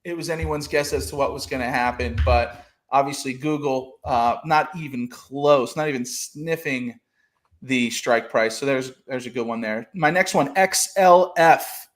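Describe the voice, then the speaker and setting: A man's voice: medium pitch, unhurried. A man hosting a casual trading podcast over a video call, rambling with lots of fillers and restarts. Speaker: podcast host.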